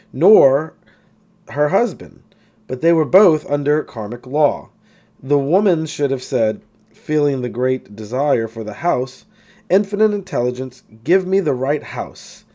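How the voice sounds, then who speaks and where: A male narrator reads a spiritual self-help book with a soft, mellow tone. His pitch rises and falls a good deal as he stresses key words, and he keeps a measured, teacherly delivery. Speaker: audiobook reader.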